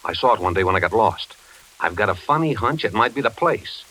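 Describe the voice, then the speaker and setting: Lively, folksy older American investigator. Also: male voice, fairly low pitch, slight background noise, low-quality recording. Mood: serious, confiding. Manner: folksy, unhurried reassurance. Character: folksy retired male investigator, older, easygoing and reluctant. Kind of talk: 1940s radio suspense acting.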